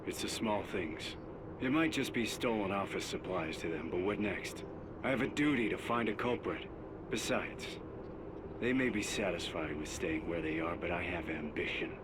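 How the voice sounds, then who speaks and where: A man's voice: low-pitched, normal speed, noisy background, lightly acted. An actor playing a pompous, petty, ambitious company man in a game audio log, self-important and aggrieved. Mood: smug resentment.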